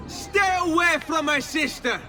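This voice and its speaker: A man's voice: very high voice, a little slow, a loud crowd. Hot-headed young Italian nobleman. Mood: angry. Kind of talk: video game cutscene acting. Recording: game music behind.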